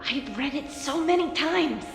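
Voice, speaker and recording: A woman's voice: high voice, animated, unhurried, vocal fry, fairly bright tone, faint music behind, exaggerated voice. Wistful girl from France, storytelling. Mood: stirred. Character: wistful French girl telling of her lost friend. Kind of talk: dramatic storytelling in an animated game cutscene.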